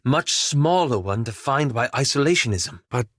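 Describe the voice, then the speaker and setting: A man playing a reserved, scholarly, self-doubting young elf adventurer in a video game, speaking in a formal, measured, wryly understated way. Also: medium pitch, animated, a bit bright, dry recording, clean studio sound. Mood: pensive. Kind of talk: video game companion dialogue.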